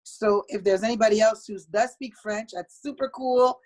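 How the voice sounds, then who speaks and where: A woman from Haiti, a guest on a video call, sharing prepared remarks in a measured, polite way. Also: on the high side, darkish tone. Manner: measured, polite, speaks from notes. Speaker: meeting guest.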